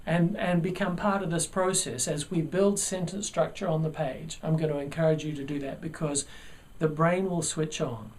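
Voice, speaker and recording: A man's voice: on the high side, darkish tone. A man with a New Zealand accent, a college writing teacher, explaining the basics of grammar in a video lesson with earnest emphasis.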